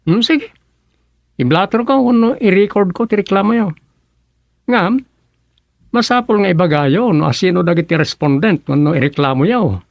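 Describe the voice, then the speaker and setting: A man acting as a gossipy small-town Filipino official with an Ilocano accent. His voice sits a little high and sounds very dry, in conversational radio comedy drama style. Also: normal speed, vocal fry, pauses often, no room sound. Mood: inquiring, official.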